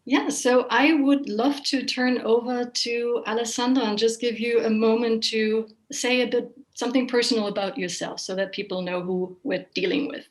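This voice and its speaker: A woman's voice: on the high side, fairly even intonation, moderate pace, hardly any pauses. Woman hosting an online panel. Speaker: host, moderator of an online panel. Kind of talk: introducing and questioning guests. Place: online video call.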